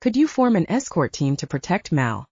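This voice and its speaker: A woman reader plays a composed male leader in an audiobook fantasy. He speaks formally and with authority, in a soft dark tone that moves freely in pitch. The recording is dry and slightly dull. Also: sing-song, moderate pace, breathy edge, no room sound, heavily acted. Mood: earnest, commanding.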